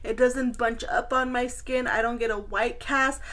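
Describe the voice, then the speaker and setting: A woman with a fairly high voice talks as a beauty vlogger. She is chatty and informal, speaking straight to her viewers in a casual product review. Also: steady tone, measured pace, fairly bright tone.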